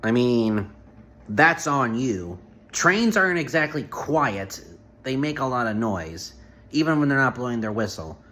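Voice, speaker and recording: Sarcastic deadpan commentator guy. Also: medium pitch, measured pace. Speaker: reaction commentator. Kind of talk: comedic reaction commentary.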